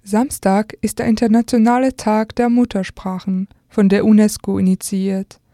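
Mellow young French-accented woman, dry recording. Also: medium pitch, flat delivery, moderate pace, dry recording. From Alsace, France. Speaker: radio contributor. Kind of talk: scripted essay reading.

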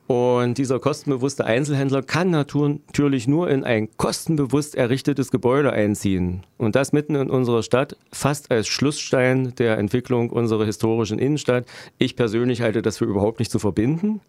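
A middle-aged man from Germany answering interview questions as a local politician, explaining deliberately and haltingly with dry irony. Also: medium pitch, darkish tone, dry recording. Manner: deliberate, halting, dryly ironic. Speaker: local politician interviewed, road planner by profession.

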